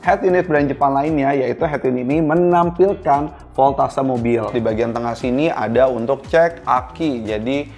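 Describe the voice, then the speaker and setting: A man's voice: mid-range voice, moderate pace, darkish tone, soft background music. A man presenting a product review casually and informally, speaking directly to viewers. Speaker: product reviewer, video host.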